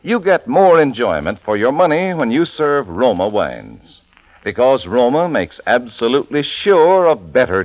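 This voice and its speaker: Animated American vintage radio announcer, male. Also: medium pitch, no room sound, poor audio. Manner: formal, polished sales delivery. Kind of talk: 1940s radio announcing and sponsor ad. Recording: vintage radio recording with music.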